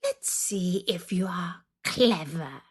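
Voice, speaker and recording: A woman's voice: fairly low pitch, expressive, slow pace, husky, heavily acted. A woman voicing a cruel, fierce old witch in a storybook, drawing out threats in a swooping voice. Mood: sly menace.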